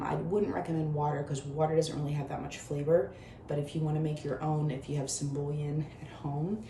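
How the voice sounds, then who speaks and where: A woman hosting her own home cooking show with a hoarse, worn voice, chatting viewers through each step of a recipe in her kitchen. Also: low-pitched, warm tone, reverberant, slight background noise. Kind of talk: casual cooking demonstration.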